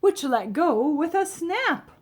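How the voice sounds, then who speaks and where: A woman reading a rhyming children's picture book aloud, expressively, with dramatic emphasis and drawn-out key words. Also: very high-pitched, very animated. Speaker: storybook reader.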